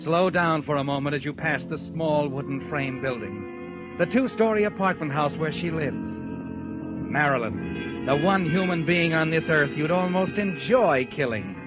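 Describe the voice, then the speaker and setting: Creepy insinuating old radio mystery narrator, sardonic, highish voice, music behind, muffled. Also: male voice, animated, a little slow. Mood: sardonic, brooding. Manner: dramatic, insinuating. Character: sardonic, ominous mystery narrator taunting the guilty protagonist. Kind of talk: 1940s radio mystery narration, second person.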